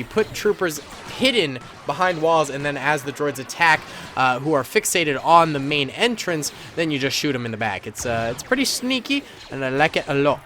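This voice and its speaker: A man's voice: medium pitch, a bit bright, a little hiss or hum. A man hosting casual commentary over a battle simulator game, playful and slangy with frequent chuckles, his nose stuffed up from a cold. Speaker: gaming channel host.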